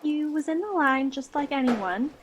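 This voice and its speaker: A woman's voice: sits high, lively intonation, normal speed. A woman playing an earnest, dreamy bank teller giving witness testimony in an audio drama. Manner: earnest, musing.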